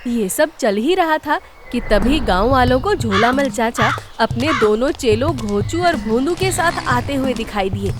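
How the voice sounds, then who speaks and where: A woman's voice: a little high, animated, a little slow, few pauses, over music. A woman narrating a scripted comic story for a cartoon, in the role of storyteller.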